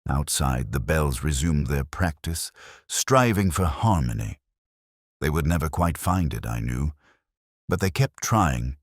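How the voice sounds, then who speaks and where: Man playing a brooding police inspector, extremely low voice, measured literary narration, world-weary, close dry sound, radio mystery drama. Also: no echo, exaggerated voice. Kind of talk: scripted first-person detective narration.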